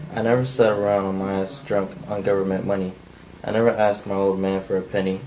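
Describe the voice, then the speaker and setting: A man's voice: on the low side, a little hiss or hum, natural voice, low-quality recording. A man reading the part of a menacing, bitter drifter in an unpolished script table read. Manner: flat, unpolished amateur line reading. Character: menacing, bitter, down-on-his-luck male drifter intruder.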